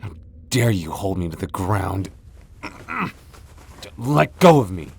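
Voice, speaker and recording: A man's voice: low-pitched, very animated, slow pace, darkish tone, husky, exaggerated voice. A man playing a haughty, centuries-old vampire lord in a fantasy ASMR roleplay, sardonic, taunting and theatrical. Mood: indignant, defiant.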